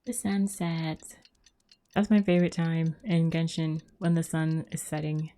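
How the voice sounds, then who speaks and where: A woman's voice: sits low, little pitch movement, unhurried, dark tone. A woman streaming a video game, chatting casually in a laid-back way as if musing to herself.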